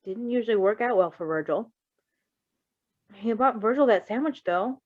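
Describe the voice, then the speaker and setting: A dull, lo-fi recording of a woman reading fiction aloud. She is expressive and informal, with a giggly manner, and slips easily between narration and casual commentary. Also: a little high, moderate pace, no room sound. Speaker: fan fiction reader. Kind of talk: expressive story read-aloud with casual reactions.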